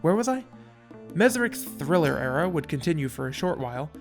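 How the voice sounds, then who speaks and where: A man's voice: fairly high pitch, sing-song, normal speed. A man narrating a scripted video essay in a wry, casual storytelling manner, with dramatic touches. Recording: music playing behind voice.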